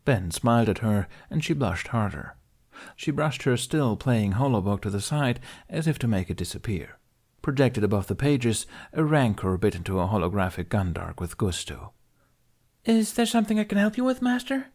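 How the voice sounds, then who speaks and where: Low-voiced man reading a story. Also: lively intonation, moderate pace, a little mellow. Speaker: audiobook reader. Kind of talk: scripted narration of fan fiction audiobook.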